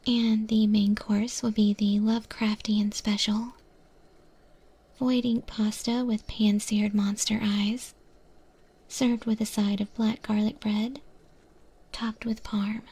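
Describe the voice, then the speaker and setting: A woman playing a patient monster woman who mentors a newcomer in a restaurant kitchen, in a hushed, breathy ASMR roleplay whisper. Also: medium pitch, level tone. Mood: hushed, measured. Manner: slow, hushed, gently instructive.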